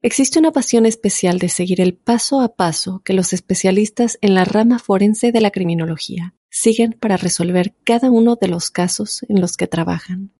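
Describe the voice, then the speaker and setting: Mellow woman reading a podcast promo. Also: medium pitch, moderate pace, few pauses, husky, no echo, clean studio sound. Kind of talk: scripted ad read.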